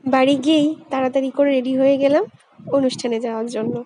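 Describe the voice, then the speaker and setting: A woman's voice: sits high, fairly even intonation, fast talker. A woman narrating a vlog in a scripted style, as its host. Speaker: vlogger. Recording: loud music over the voice. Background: loud music.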